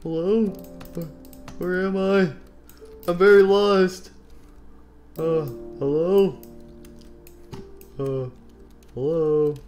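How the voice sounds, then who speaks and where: A man's voice: sits high, steady tone, extremely slow, pauses often, a little mellow, faint music behind, pitched above the performer's own voice. A man voicing a hapless, melodramatic robot bunny man in an improvised game story. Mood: lost, forlorn.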